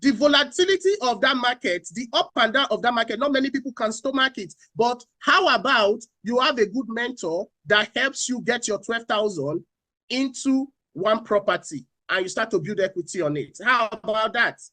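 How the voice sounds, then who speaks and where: A man's voice: very high voice, animated, normal speed, pushed and bright, no room sound. A man from Nigeria, speaking as a finance panelist on a talk show, repeating key phrases and asking rhetorical questions.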